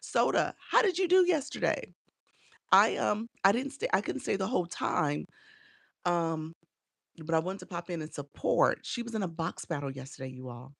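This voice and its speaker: Congested, gravelly woman livestreaming. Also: lowish voice, very animated, normal speed, no echo, studio quality. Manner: chatty and encouraging, greets viewers by name. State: congested, a little nasal from a cold. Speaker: live streaming coach. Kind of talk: casual livestream chat with viewers.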